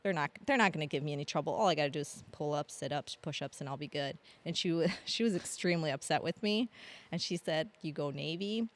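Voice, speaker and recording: Low-voiced woman in her late 30s, chatty podcast guest, lots of ums and laughs. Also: fast. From grew up in Argentina. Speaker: podcast guest, Air National Guard staff sergeant. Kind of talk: casual interview storytelling.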